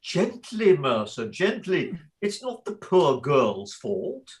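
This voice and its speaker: An actor playing a genteel, gently pompous lord in a theatrical comedy, courteous and ornate. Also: male voice, medium pitch, animated, moderate pace, close to an everyday voice. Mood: solemn, soothing. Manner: courteous, ornate, mock-solemn. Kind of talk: theatrical radio comedy acting.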